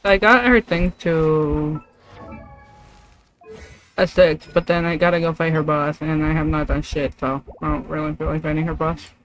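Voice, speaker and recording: A woman's voice: sits low, fairly even intonation, moderate pace, faint music behind, low-quality recording. A woman livestreaming gameplay, chatting casually and thinking aloud in loose, rambling asides. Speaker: streamer.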